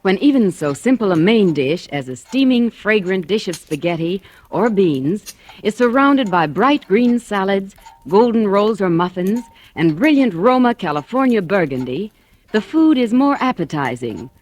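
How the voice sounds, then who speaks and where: A woman's voice: medium pitch, very animated, moderate pace, a little mellow. A woman reading a scripted 1940s radio endorsement as a hostess. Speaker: celebrity hostess in sponsor spot. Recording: old radio recording.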